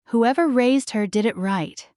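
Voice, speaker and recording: A woman's voice: fairly high pitch, unhurried, a little mellow, dry recording, clean studio sound, lightly acted. A woman voicing a kind, reassuring young female store employee in a story, earnest and plain-spoken. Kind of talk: storybook-style dialogue reading.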